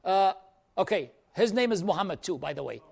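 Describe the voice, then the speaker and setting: Man teaching, high voice. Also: lively intonation, creaky, husky, some chatter behind. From Iraq. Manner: measured, explanatory, answers questions directly. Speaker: teacher of a church class series. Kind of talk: lecture with audience questions.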